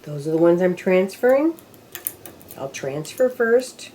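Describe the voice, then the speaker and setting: A woman's voice: on the low side, sing-song, unhurried, mellow, a little hiss or hum. An older woman casually demonstrating machine knitting step by step, counting rows aloud as she works. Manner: talks to herself while working, counting rows aloud. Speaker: knitting instructor.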